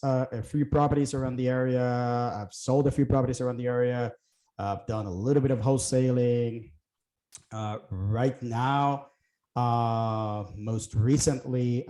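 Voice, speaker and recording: A middle-aged man from Puerto Rico speaks in a relaxed, mellow voice, as a guest in a casual chat. He thinks aloud, pausing on fillers and restarting his sentences. The room around him adds a noticeable echo. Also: mid-range voice. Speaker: guest, real estate investor.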